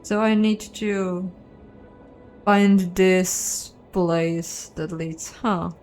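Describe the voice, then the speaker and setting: A woman's voice: fairly low pitch, fairly even intonation, extremely slow, a little mellow, faint music behind. A woman giving casual playthrough commentary on a game, musing aloud and questioning herself. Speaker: game streamer and narrator.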